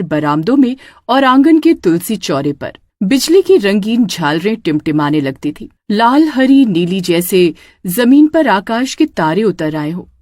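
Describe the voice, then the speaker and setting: A woman's voice: medium pitch, darkish tone, dry recording, clean studio sound. A woman narrating a scripted children's story as its storyteller.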